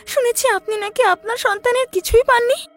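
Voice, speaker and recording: Woman acting a shrill, plaintive village widow. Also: brisk, fairly bright tone. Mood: tearful, plaintive. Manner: plaintive, emotional. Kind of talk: animated horror story voice acting.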